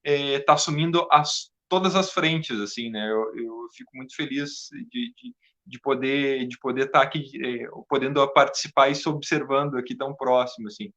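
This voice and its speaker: A man talking casually as an interview guest, halting and repeating words as he goes. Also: mid-range voice, animated, a bit bright, highs cut off. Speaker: interview guest, theatre artist and video editor.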